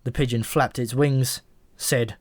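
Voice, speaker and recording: A man's voice: mid-range voice, steady tone, measured pace, breathy edge, no echo. A man reading scripted narration from a comic fantasy novel as an audiobook narrator.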